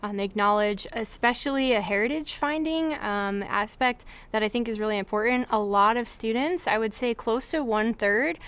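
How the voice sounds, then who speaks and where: A woman's voice: fairly high pitch, normal speed, few pauses, fairly bright tone, smooth. A young woman advising students on study abroad, speaking earnestly with frequent filler words. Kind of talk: informational presentation.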